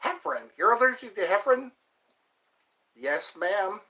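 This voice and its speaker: Man, 50s, livestream host, rambling casual chat, self-deprecating jokes, lively pitch. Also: normal speed, poor audio. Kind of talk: casual chat answering viewers.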